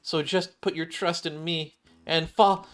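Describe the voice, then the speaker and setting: A man's voice: on the high side, fairly even intonation, measured pace, a bit bright, pitched above the performer's own voice. A man voicing an eager, boastful young female survivalist rookie from a video game, chatty and overconfident, with music behind. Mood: boastful, insistent.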